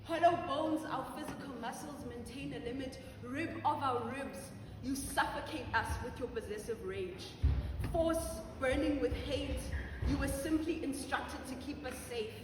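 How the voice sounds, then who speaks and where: A woman's voice: high voice, moderate pace, natural voice. A woman performing an accusing, anguished spoken word poem on stage. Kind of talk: dramatic spoken word poetry. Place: large auditorium stage. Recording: very echoey noisy auditorium, far microphone.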